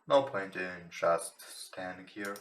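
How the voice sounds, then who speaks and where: A man who is not a native English speaker, reading a visual novel aloud with casual commentary in a somewhat halting way. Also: sits low. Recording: roomy, echoing home recording. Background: game music.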